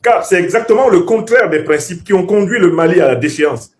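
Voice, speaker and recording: Man, Bassa speaker, didactic political orator, emphatic, highly varied intonation, slightly high voice. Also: animated, fairly quick. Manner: emphatic, professorial, rhetorical repetition. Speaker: political commentator.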